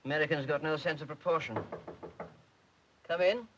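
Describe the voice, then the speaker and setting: An actor playing a grumbling middle-aged cricket fan, making disdainful remarks in a 1930s film. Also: male voice, fairly high pitch, moderate pace, noisy background, lightly acted. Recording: dull lo-fi 1930s soundtrack.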